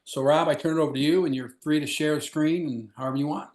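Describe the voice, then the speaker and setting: Brief: male host and former pastor introducing a guest on a video call, informal and halting, echoey room. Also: on the high side, fairly even intonation, a little mellow. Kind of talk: introducing a speaker. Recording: roomy echo.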